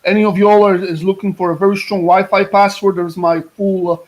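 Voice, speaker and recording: Polish man with a high voice, coach. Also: brisk, rough recording. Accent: Polish with a mid-Atlantic English lilt. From Poland. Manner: energetic, many restarts and repeated words. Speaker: American football coach. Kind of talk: guest presentation on a video call. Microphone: video call.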